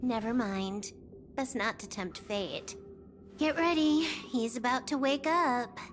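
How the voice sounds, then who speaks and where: Playful young female game character: nonchalant, teasing, very slow drawl, faint music underneath. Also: a little high. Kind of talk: scripted game voice acting. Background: faint background music.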